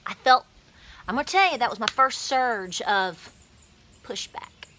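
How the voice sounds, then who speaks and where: An emphatic woman in her forties speaks straight into a handheld phone camera from her porch. Her voice sits fairly high and rings bright and forceful. She talks animatedly and drives her points home with feeling. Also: animated, a little slow, a little hiss or hum. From Appalachia, USA. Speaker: homesteading vlogger. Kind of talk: passionate straight-to-camera talk. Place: outdoors on a house porch. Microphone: phone camera at arm's length.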